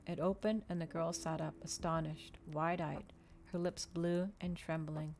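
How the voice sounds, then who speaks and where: A woman's voice: low-pitched, steady tone, measured pace, a little mellow. A woman narrating a novel aloud as a scripted ASMR reading. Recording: noticeable background noise.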